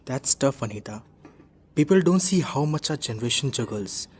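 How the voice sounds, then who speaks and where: Male actor as tired working dad, raspy edge, medium pitch, friendly, radio drama. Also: animated, moderate pace, darkish tone. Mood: sympathetic, friendly. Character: weary working father worried about money, friendly. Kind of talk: amateur radio drama acting.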